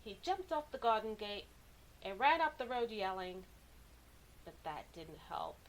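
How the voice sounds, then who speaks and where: A woman reading a children's story aloud with animated, deliberate, dramatic expression. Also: medium pitch, a little hiss or hum, rough recording. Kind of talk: expressive picture-book narration for kids.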